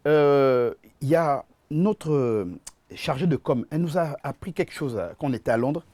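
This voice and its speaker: Gabonese man, halting, stumbling speech. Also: on the high side, mellow, no room sound. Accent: Gabonese French. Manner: halting, repeats words often. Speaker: musician and concert producer, TV guest. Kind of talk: TV studio interview. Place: TV studio.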